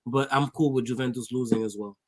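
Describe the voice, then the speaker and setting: A man's voice: mid-range voice, steady tone, quick pace, darkish tone, breathy edge. A Haitian-born man whose first language is French, co-hosting a podcast in casual chat, laughing often and agreeing readily. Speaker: podcast co-host.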